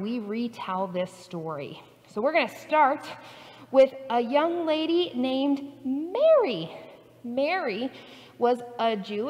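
A woman pastor, her voice a little high and full of swooping expression, tells a story slowly and carefully for small children. She speaks in a church sanctuary, picked up by a distant camera, so her words ring with a long echo. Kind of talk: telling a Bible story to preschoolers. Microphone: far camera.

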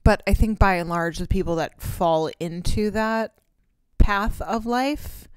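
A woman's voice: medium pitch, a little slow, clear, resonant tone, no room sound. A woman, co-hosting a podcast in casual chat, candid and rambling with casual swearing. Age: 30s. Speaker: podcast co-host. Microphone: close podcast mic.

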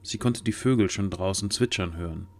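A man's voice: low-pitched, fairly quick. A man reading scripted narration for a fantasy audio drama. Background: faint music.

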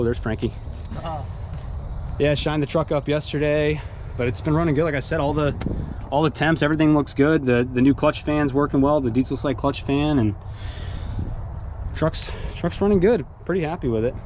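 A man in his thirties hosting a vlog, chatting quickly and upbeat to a dashboard camera while driving, then handheld outdoors. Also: mid-range voice. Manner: quick, upbeat, chatty truck talk with fillers. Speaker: channel host. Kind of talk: casual vlog talk to camera. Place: inside a truck on a highway, then outdoors in an open lot. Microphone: action camera on the dashboard, then handheld outdoors. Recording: loud road and wind noise, some distance from the camera.

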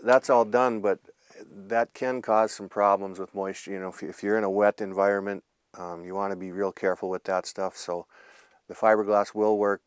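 A man's voice: low-pitched, no room sound, studio quality. A man casually explaining problems in a small basement room, hesitating often with ums and uhs.

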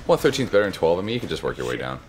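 A man's voice: sits low, sing-song. A man bantering and swearing with a friend while they play a co-op shooter together. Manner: joking, swearing, quick comebacks. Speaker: co-op gamer. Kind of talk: casual banter while playing.

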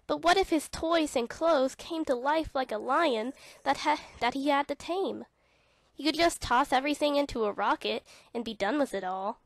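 A woman narrating a read-along children's storybook in an expressive, dramatic way. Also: sits high, moderate pace, no room sound.

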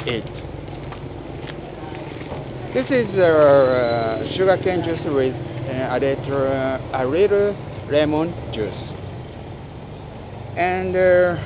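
A man in his forties with a Japanese accent, speaking English haltingly with many fillers as he vlogs to a handheld camera on a town street. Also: on the high side. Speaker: travel vlogger. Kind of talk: casual vlog to camera. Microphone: handheld camera at arm's length. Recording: tinny phone-like sound with street noise.

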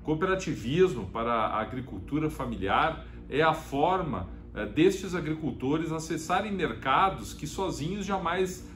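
A man in his fifties, a government official, giving a prepared, formal talk to camera from his office desk, filling pauses with short "eh" sounds. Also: a little high. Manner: measured, formal, frequent "né" and "eh" fillers. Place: office, indoors, seated at a desk. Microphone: fixed camera at desk distance. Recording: echoey office room, faint hiss.

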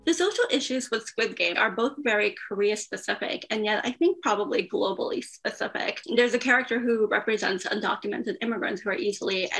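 A woman's voice: medium pitch, fairly quick, hardly any pauses, a bit bright, poor audio. A middle-aged woman giving explanatory expert commentary in an interview. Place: small indoor room lined with bookshelves.

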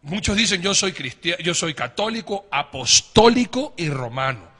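A middle-aged man hosting a religious programme, explaining emphatically to viewers with drawn-out stresses and rhetorical questions. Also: high voice, big pitch swings, bright, forceful tone, slightly rough. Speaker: host of a religious panel programme. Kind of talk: preaching-style explanation to viewers. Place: wood-panelled indoor studio or meeting room. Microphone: handheld microphone. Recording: very echoey room.

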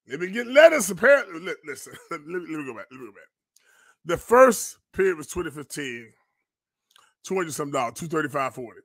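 A man delivers commentary in a preacher's cadence. His voice is bright and forceful, recorded dry and close. He sounds indignant and emphatic, hammering phrases by repeating them. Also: sits high, no room sound. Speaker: pastor and online show host. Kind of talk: fiery live-stream commentary, preacher's cadence.

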